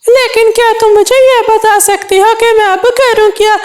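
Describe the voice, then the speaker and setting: A woman's voice: very high-pitched, steady tone, normal speed, darkish tone. A woman voicing a homeless male cartoon parrot, polite, earnest and plaintive in a children's story. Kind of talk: Hindi children's cartoon dialogue.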